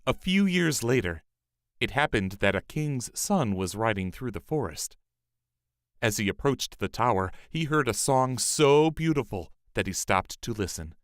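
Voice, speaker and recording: A man narrates a fairy tale in a measured storybook manner. His mid-range voice moves expressively up and down, and the recording is dry. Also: mid-range voice, big pitch swings, no echo.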